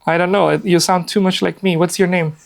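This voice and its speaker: A man's voice: high voice, fairly even intonation, fairly quick, vocal fry. A man in his forties from Afghanistan leads a workshop in a meeting room, speaking in a chatty, upbeat way. He fills gaps with easy fillers and sits at a laptop, picked up by a camera across the room. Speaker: workshop presenter. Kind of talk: casual live presentation.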